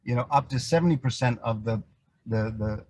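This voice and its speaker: A man from Indonesia giving a prepared introduction as a webinar panelist, in a halting way with pauses and repeated words. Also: medium pitch, darkish tone, no echo. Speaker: business representative, webinar panelist. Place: online video call.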